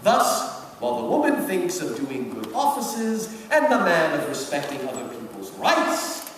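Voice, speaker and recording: A man playing a pompous, sly senior devil in a dramatic book reading, with a theatrical, deliberate, drawn-out delivery. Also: on the high side, wildly swooping pitch, fairly bright tone, full character voice. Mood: sly, mocking. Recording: echoey hall from far off, noisy.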